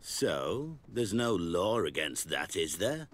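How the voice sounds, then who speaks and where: A man playing a sly, wary, opportunistic brothel keeper with a rough, husky voice in a video game. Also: low-pitched, very animated, takes it slowly, a bit bright, exaggerated voice. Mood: sly, defensive. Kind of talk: video game cutscene acting.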